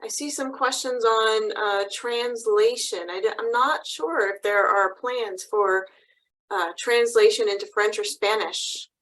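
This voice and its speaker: A woman hosts a video webinar, her voice a bit higher than average. She speaks in a friendly, open way as she invites the audience to ask questions. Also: steady tone, unhurried, fairly bright tone, rough recording. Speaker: webinar moderator. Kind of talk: webinar moderation. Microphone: computer mic on a video call.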